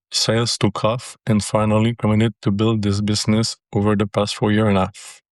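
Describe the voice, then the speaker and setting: An older man from Quebec with a Québécois French accent, a native French speaker answering interview questions in careful, halting English. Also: lowish voice, a little mellow, dry recording. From Quebec, Canada. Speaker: business seller.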